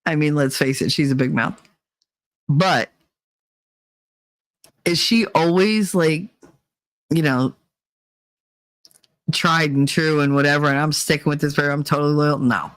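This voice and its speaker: A woman's voice: sits low, unhurried, pauses often, dry recording, rough recording. Blunt, profane woman ranting her opinions. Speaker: vlog host. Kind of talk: casual opinion monologue.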